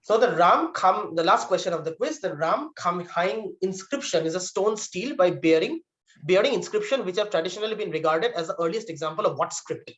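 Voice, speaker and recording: A man's voice: high voice, expressive, brisk, a bit bright. Muffled male quiz master. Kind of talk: reading quiz questions and judging answers on a video call.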